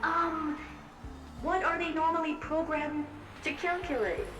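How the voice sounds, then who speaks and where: Fawning female magic mirror, game character, high-pitched, drawn-out stammering, echoey, music behind. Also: fairly even intonation. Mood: eager, hesitant. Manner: hesitant, stammering, theatrical. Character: timid, flattering, dramatic talking mirror with feminine voice. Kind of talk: video game voice acting. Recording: echoing, distant voice over game music.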